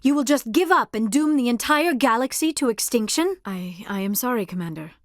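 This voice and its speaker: An actress voicing an earnest, gentle young alien woman scientist in a video game, speaking formally and with measured, careful diction. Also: fairly high pitch, lively intonation, no echo, studio quality, close to an everyday voice. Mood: upset, indignant. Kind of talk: scripted video game character dialogue.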